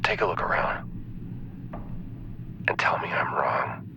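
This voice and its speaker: A man acting a weary, menacing doctor in a video game, with a breathy, hushed voice and a grave, deliberate delivery. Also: a little hiss or hum. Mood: cold conviction. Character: weary, menacing male doctor convinced he saves lives.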